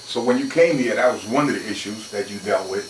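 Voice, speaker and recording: A man's voice: lowish voice, brisk. Radio host man, roomy distant sound. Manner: relaxed, many "uh" fillers, calls guest brother. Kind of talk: radio interview. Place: radio studio. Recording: roomy, distant mic with noise.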